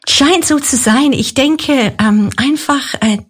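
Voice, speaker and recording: A woman's voice: sits high, slow. An older woman, a native English speaker from Nigeria, speaking German with a light English accent as a modest guest on a podcast. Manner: warm, modest, laughs lightly. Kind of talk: casual interview.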